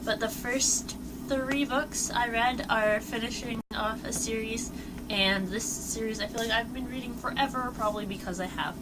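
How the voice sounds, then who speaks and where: A young woman rambles through a casual book chat, full of fillers and "like," her voice slightly worn from a cold. She sits away from the mic, so she sounds a touch distant, with steady noise behind her. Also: a little high, moderate pace. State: losing her voice, getting sick. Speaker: book vlogger. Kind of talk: casual monthly reading wrap-up.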